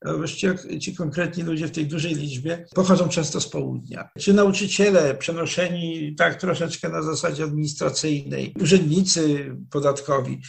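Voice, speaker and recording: An older man with a strongly gravelly, somewhat high-pitched voice explains history like a university lecturer. He is measured and digressive, often restarting phrases and correcting himself mid-sentence. He speaks through a webcam call from a dark, wood-panelled study. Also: fairly even intonation. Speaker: professor, interview guest. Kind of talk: explanatory academic talk. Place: study with dark wooden shelves, indoors.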